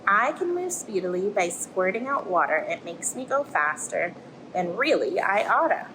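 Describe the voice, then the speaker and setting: A friendly, plucky little octopus played by a woman tells a rhyming storybook tale. The delivery is expressive and swings widely in pitch, over clear background noise. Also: moderate pace, natural voice. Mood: storytelling, suspenseful. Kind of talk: rhyming picture-book read-aloud. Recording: clear background noise, aquarium room ambience.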